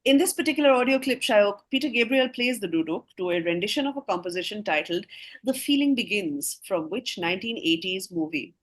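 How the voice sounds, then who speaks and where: A woman's voice: medium pitch, animated, brisk. A woman quizmaster reading scripted questions aloud, with casual asides to the players.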